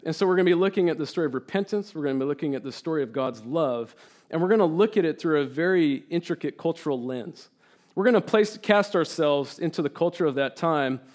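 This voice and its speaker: A man raised in Turkey with Turkish as his first language delivers a sermon as a guest preacher. His voice sits a touch high, and he talks earnestly and with animation. He doubles up words for emphasis and reads scripture aloud. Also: lively intonation, quick pace, darkish tone.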